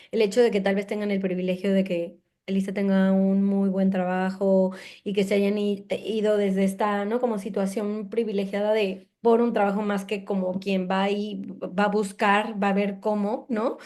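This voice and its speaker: A woman's voice: medium pitch, level tone, moderate pace, darkish tone. A woman interviewer, responding with empathy in a casual conversation and thinking aloud with fillers.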